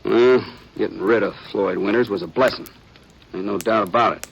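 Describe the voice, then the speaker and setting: Gruff, gravelly western deputy. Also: male voice, on the low side, moderate pace. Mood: wry, reflective. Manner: folksy, blunt, sarcastic drawling. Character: gruff, weary small-town western deputy, scornful of outlaws. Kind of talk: old-time radio western acting. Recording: noisy vintage radio recording.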